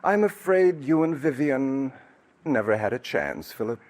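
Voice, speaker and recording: A silky scheming poisoner. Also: male voice, mid-range voice, very animated, a little slow, darkish tone. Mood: menacing. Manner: silky, menacing, hesitant fillers. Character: scheming, smooth-talking male poisoner, middle-aged. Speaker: villain, Roger. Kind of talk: 1940s radio drama acting. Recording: old 1940s radio broadcast recording.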